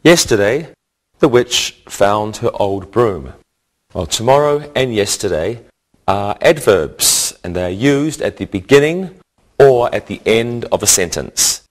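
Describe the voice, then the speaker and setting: Slow, low-pitched male English teacher. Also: big pitch swings. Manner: deliberate, instructional enunciation. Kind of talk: scripted grammar lesson narration.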